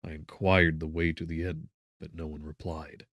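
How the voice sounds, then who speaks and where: A man with a very deep voice narrates gothic fiction. His delivery is dramatic, solemn and measured. The recording is dry, with hardly any room around the voice. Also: little pitch movement, fairly bright tone, no echo. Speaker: audiobook narrator.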